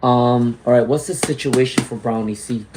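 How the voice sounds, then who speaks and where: A man's voice: mid-range voice, fairly even intonation, normal speed, noisy background. A man giving live tarot readings, reading out viewer comments and often checking in with "all right?" and "okay?". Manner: reads out viewer comments and greets chatters. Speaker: tarot reader.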